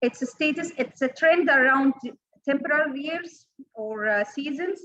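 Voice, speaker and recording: A woman with an Egyptian Arabic accent giving an online training lecture, halting, with many fillers and restarts. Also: high-pitched, pushed and bright, muffled recording. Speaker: workshop trainer. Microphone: online call audio.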